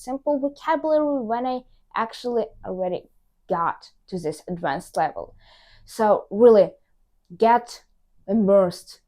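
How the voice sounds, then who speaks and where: Woman, vlogger, medium pitch, upbeat and encouraging, slight non-native grammar slips. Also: a little mellow. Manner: upbeat, encouraging, talks straight to viewers. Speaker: language-learning vlogger. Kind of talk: direct-to-camera tips vlog.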